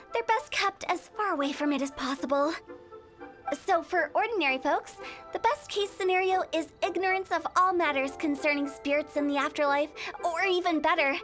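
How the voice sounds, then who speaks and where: A woman's voice: very high-pitched, fairly bright tone. An actress playing a mischievous, teasing young woman who runs a funeral parlor in a video game. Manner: teasing, joking, with sly afterthoughts.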